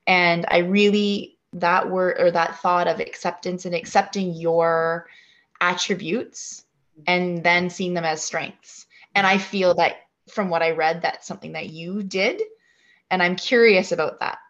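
Woman co-host, low voice, dull lo-fi recording; thoughtful questions. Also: fairly even intonation, measured pace, a bit bright. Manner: thoughtful, searching for words. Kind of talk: casual interview questions. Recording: dull lo-fi sound.

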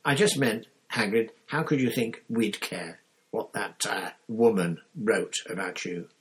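A man reading aloud and voicing an earnest teenage boy who talks quickly and gets a little flustered. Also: lowish voice, breathy edge. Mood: earnest, indignant.

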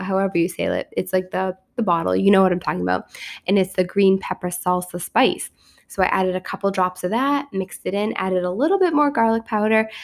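A woman's raspy, gravelly voice, worn down by illness. She hosts her podcast in an easy, upbeat chat, rambling along with fillers and restarts at a middling pitch. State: sick, resting her voice. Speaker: podcast host. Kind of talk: casual chat.

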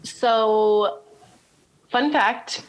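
A woman's voice: a little high, extremely slow, poor audio. A woman co-hosting a podcast, chatting casually as she recaps a TV show. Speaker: podcast co-host.

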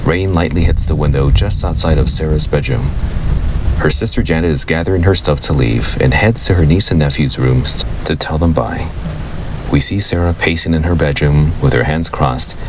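A man's voice: a bass voice, moderate pace, background noise. A man narrating a supernatural radio play in scripted present tense. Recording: loud music and rain underneath.

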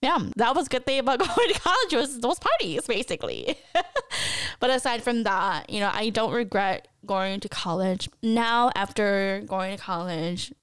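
A woman's voice: a little high, expressive, moderate pace, fairly bright tone, clear, resonant tone, no echo, clean studio sound. A woman in her thirties from the United States, hosting a podcast and talking candidly and chattily to listeners, often laughing. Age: 30s. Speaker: podcast host. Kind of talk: casual personal podcast monologue.

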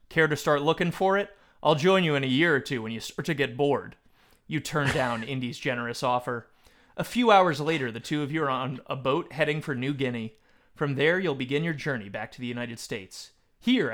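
A man hosting a casual conversation in an animated, emphatic way, sometimes reading aloud. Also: mid-range voice, brisk. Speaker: podcast host. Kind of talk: casual chat, some reading aloud from a book.

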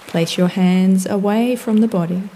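Very slow, mellow woman guiding meditation. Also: mid-range voice, a little hiss or hum. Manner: calm, gentle, soothing, measured. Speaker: meditation guide. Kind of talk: guided meditation, scripted soothing instruction. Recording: long reverb, background wash of waves. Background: relaxing ocean waves.

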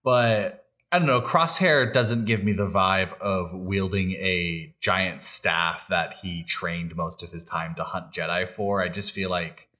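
A man's voice: deep voice, measured pace, few pauses, pushed and bright. A man co-hosting a podcast, chatting informally and thinking aloud as he goes. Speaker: podcast co-host. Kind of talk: casual chat about gaming and film news.

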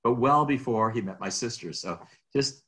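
A man's voice: medium pitch, expressive, moderate pace, sharp tone. A middle-aged man from Minnesota who grew up speaking Greek, chatting as an interview guest, rambling with restarts and chuckles. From Minnesota, USA. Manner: rambling, restarts, chuckles while telling stories. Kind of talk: casual interview chat.

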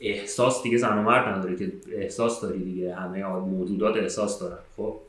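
Roomy, echoing recording of a man with a fairly low voice. He has an Iranian Persian accent with English words mixed in. As a podcast co-host he chats casually, thinking aloud and rephrasing his opinions. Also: fairly even intonation, fairly bright tone. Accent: Iranian Persian with English loanwords. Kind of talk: casual chat, giving opinions.

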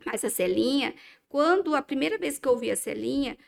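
A woman's voice: high voice, expressive, fairly quick, creaky. A woman dermatologist with a mixed Brazilian Portuguese accent, chatting on a talk show and often hesitating with fillers. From Minas Gerais, Brazil. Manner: hesitant, many fillers and restarts. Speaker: dermatologist guest. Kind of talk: casual talk-show chat.

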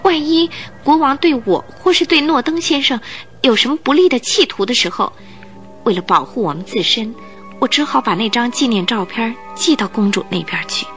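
A woman's voice: a little high, animated, moderate pace, fairly bright tone, soft background music. A woman playing a clever, wary and determined heroine in a Mandarin radio drama. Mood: wary, earnest. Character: clever adventurous woman, wary, determined, polite, newly married.

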